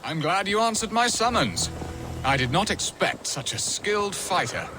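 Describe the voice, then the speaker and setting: A man's voice: high-pitched, sing-song, moderate pace, noisy background. A man playing a flamboyant Saxon nobleman and hunter in a video game, with florid, theatrical, old-fashioned phrasing. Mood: intrigued. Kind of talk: video game dramatic acting.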